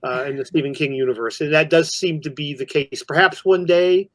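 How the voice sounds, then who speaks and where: A man's voice: on the high side, a little fast, fairly bright tone, no echo. A man co-hosting a horror news podcast, chatting casually about films with fellow hosts.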